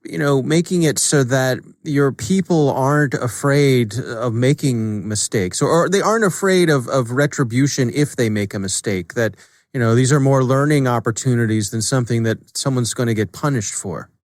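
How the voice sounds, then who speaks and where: A man hosting an interview, asking questions conversationally in informal, thoughtfully halting phrases. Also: mid-range voice, dry recording, studio quality. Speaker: podcast interviewer.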